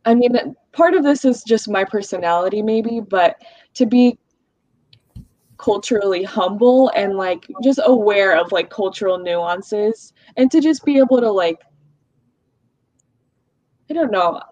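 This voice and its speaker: A woman's voice: mid-range voice, expressive, a little slow, pauses often, mellow, no room sound. Woman with a Russian first language, reflective. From United States. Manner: reflective, uses many fillers like "like" and "um". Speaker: guest. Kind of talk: casual podcast conversation. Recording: dull, dry, lo-fi sound.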